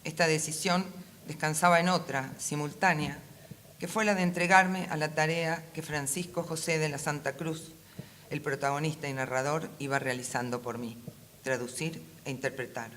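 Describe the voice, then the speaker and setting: A woman's voice: low-pitched, fairly even intonation, a bit bright. Argentine woman reading, faint background noise. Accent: Rioplatense Argentine. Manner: measured, literary, formal phrasing. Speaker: literary translator, panel speaker. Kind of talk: reading a prepared talk aloud. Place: conference room at a book fair. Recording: faint ambient event noise.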